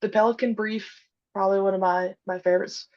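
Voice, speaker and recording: Female, thirties, halting conversational interviewee, medium pitch, muffled low-fidelity sound. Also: steady tone, darkish tone. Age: 30s. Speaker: podcast guest, audio drama creator.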